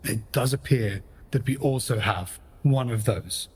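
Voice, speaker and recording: A man's voice: mid-range voice, unhurried, darkish tone, husky. A man narrating and hosting a radio play in a formal, theatrical storyteller's manner. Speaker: host and narrator. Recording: music behind the voice.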